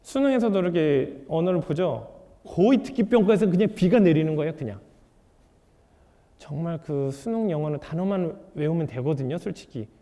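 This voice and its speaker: A man giving an author's lecture to an audience, putting rhetorical questions to the room in a lively way. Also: a little high, normal speed. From South Korea. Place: lecture hall. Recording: reverberant lecture hall.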